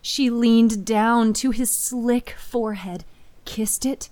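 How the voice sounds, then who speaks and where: A woman narrating dramatic horror fiction with deliberate, suspenseful phrasing. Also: a little high, fairly even intonation, warm tone, no room sound.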